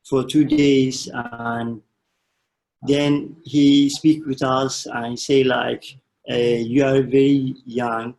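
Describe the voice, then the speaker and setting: Halting young man, gravelly voice. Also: mid-range voice, little pitch movement, a little mellow, rough recording. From Somalia. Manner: halting, many restarts and fillers. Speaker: panel guest telling his refugee story. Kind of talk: personal testimony in a panel conversation.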